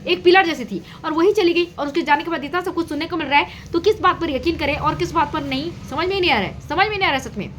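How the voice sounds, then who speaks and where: A woman with a very high, bright and sharp voice. She gives casual reaction commentary straight to her viewers, hammering points home through repetition. There is faint noise behind her. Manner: repetitive, emphatic phrasing. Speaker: reaction video host. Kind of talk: casual gossip commentary to an audience.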